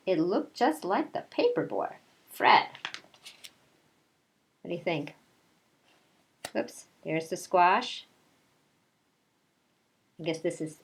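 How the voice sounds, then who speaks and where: A woman with a fairly low voice speaks as a kindergarten teacher at story time. Her delivery is conversational and aimed straight at young children, in the style of a picture-book read-aloud mixed with casual chat. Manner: conversational, addresses children directly with questions.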